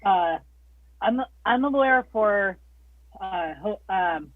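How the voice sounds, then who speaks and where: A woman's voice: medium pitch, lively intonation, slow pace, no echo, phone-quality sound. A woman improv performer talking animatedly over a video call, restarting her sentences. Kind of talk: improvised scene and casual chat.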